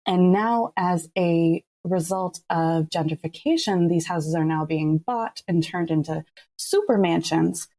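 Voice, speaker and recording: A woman's voice: fairly low pitch, measured pace, warm tone. A woman speaking as a podcast creator in a casual interview, with a wry, anecdotal manner.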